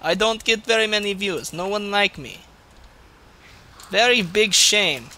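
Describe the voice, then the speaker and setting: A man's voice: high-pitched, lively intonation, measured pace, bright, forceful tone, a little hiss or hum, full character voice. A man putting on a Russian accent as a crude, boastful, grumbling gamer, giving comic gameplay commentary in broken English. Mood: sulky, spiteful. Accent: put-on Russian. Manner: crude, swearing, mock-menacing, broken English.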